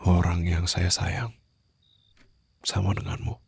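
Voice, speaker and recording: A man playing a brooding, protective mafia boss in an intimate ASMR roleplay, speaking slowly and softly in a husky, breathy voice that drifts into whispers. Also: low voice, steady tone, full character voice. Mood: gentle, consoling. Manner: slow, hushed, formal and soothing, drifting into whispers. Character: brooding young male mafia boss, commanding yet tenderly protective. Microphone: close studio microphone, dry.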